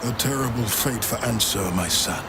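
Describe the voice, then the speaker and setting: A man's voice: medium pitch, normal speed, very noisy, lightly acted. An actor playing a dignified, weary nobleman father in a fantasy game, formal and stately. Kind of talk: dramatic game dialogue. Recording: loud music over voice.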